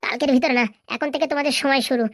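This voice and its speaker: A woman's voice: sits high, fairly even intonation, rapid-fire, no echo, studio quality. A woman playing a bossy, threatening female boss in a comedy cartoon, scolding and giving orders. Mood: stern, threatening. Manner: scolding, commanding. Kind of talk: Bengali comedy cartoon dialogue.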